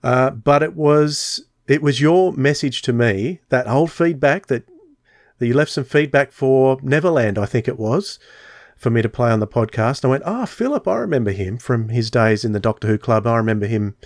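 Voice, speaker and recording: A man's voice: medium pitch, normal speed, dry recording, studio quality. A man hosting a podcast, chatting casually and telling stories with many fillers. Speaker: podcast host.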